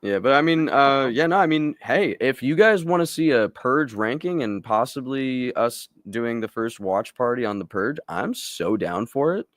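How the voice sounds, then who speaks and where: A man's voice: medium pitch, lively intonation, normal speed, few pauses, a bit bright, no room sound. A man co-hosting a podcast, chatting about films in an informal, laid-back way. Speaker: podcast co-host. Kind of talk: casual chat about films.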